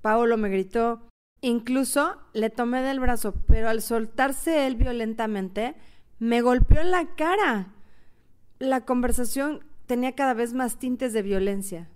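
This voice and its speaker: A woman's voice: a little high, a little slow, clear, resonant tone, natural voice. A woman playing a lovestruck, anxious young woman who tells of her heartbreak in a radio novela. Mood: heartbroken, earnest. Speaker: narrator and actress. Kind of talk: dramatic radio novela narration and acting.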